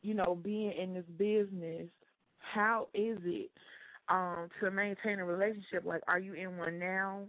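A woman's voice: on the low side, fairly even intonation, a little slow, dry recording, narrow, tinny audio. A woman hosting a radio interview, chatty, dropping frequent "okay"s and "um"s. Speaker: radio show host.

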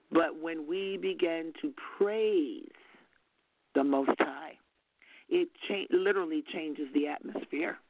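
Older woman, gravelly fervent testimony over phone. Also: low-pitched, no echo. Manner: fervent, storytelling, soft-spoken. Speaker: minister giving testimony. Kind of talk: spoken testimony on a call-in broadcast. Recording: phone-line audio.

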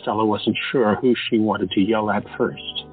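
Low-voiced male drama narrator. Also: steady tone, normal speed. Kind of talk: scripted audio drama narration. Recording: lo-fi, phone-like, with music.

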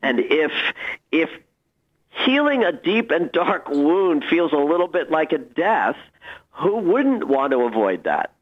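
Animated man explaining, slightly dull sound. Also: fairly high pitch, takes it slowly. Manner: animated, emphatic, expressive phrasing. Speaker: guest astrologer. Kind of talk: conversational radio interview, explaining.

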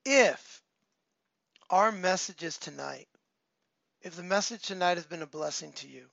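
Man, pastor, slightly high voice, earnest, repeats himself, still hoarse from a cold, dry muffled sound. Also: fairly bright tone, no room sound. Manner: earnest, repeats key phrases, many filler ums. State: recovering from a cold, voice not fully back. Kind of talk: online church service, announcements and appeals.

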